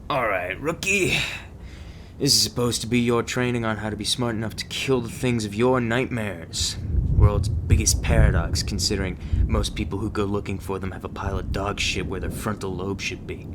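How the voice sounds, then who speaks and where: A man playing a jaded veteran monster-hunting agent in an ASMR roleplay, curt, deadpan and contemptuous. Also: fairly low pitch, normal speed, a little hiss or hum, natural voice. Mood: sardonic, curt. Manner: deadpan, curt, sarcastic, insulting.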